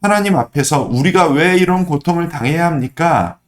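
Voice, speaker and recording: A man's voice: on the high side, animated, normal speed. A man, a pastor teaching the Bible in a conversational, earnest way, his voice slightly affected by a throat cold. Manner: earnest, explanatory, emphatic repetition. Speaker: pastor giving a daily online devotional.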